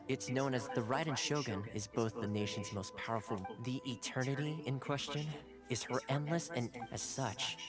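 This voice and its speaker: A man's voice: mid-range voice, lightly acted. A man voicing a playful, courteous young retainer in a video game, articulate and politely teasing. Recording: game music behind.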